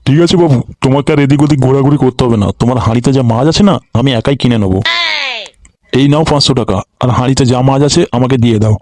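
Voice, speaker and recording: A man's voice: mid-range voice, racing, darkish tone, dry recording, close to an everyday voice. A man voicing an eager, gullible older villager in a comic cartoon, chatty and exclaiming, repeating his words.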